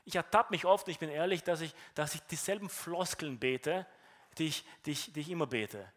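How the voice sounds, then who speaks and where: A man with a fairly high voice and a Romanian accent delivers a sermon. He speaks earnestly, explaining and asking questions of his listeners. He often repeats a word as he restarts a thought. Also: moderate pace, a bit bright. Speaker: preacher.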